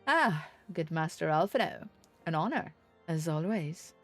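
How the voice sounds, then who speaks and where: A woman's voice: low voice, lively intonation, measured pace, faint music behind. A woman voicing a gracious, welcoming knight lord from a fantasy game, speaking in a courtly, florid way.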